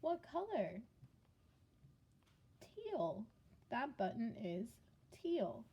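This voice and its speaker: A woman preschool teacher reading a picture book aloud to young children in simple, sing-song phrases, pausing after questions. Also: a little high, sing-song, slightly rough, dull audio. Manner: simple short phrases with deliberate pauses for answers.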